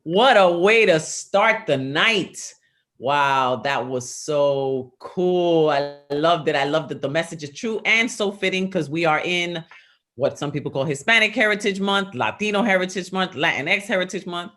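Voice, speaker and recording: A woman's voice: fairly low pitch, unhurried, fairly bright tone. A woman hosting an online open mic, upbeat and encouraging toward the performers. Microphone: video call.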